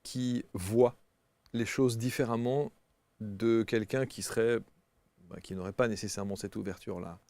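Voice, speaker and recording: Host type: man with a mellow, gravelly voice, friendly and chatty, dry studio sound. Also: lowish voice, steady tone, moderate pace, no room sound. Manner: friendly, chatty with many fillers. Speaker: interviewer. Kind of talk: studio interview.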